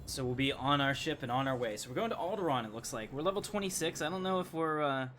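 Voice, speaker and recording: A man's voice: on the high side, expressive, quick pace, fairly bright tone, a little hiss or hum. A man streaming a video game with casual, joking, rambling commentary.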